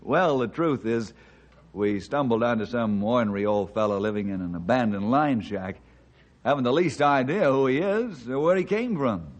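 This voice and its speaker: An actor playing a curious, reasonable ranger in old-time radio drama style. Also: male voice, medium pitch, expressive, normal speed, lo-fi sound, natural voice. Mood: amused.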